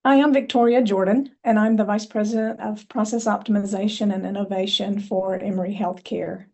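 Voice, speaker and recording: Low-toned, gravelly woman presenting. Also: steady tone, a little mellow. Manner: measured, with frequent ums and uhs. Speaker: healthcare executive presenter. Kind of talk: prepared presentation.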